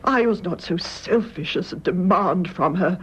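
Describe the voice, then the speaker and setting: An actress playing a grave, loving elderly British mother in a radio drama, speaking in a measured, gentle, solemn way. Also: lowish voice. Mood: solemn, confessing. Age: older. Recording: muffled radio recording, clear background noise.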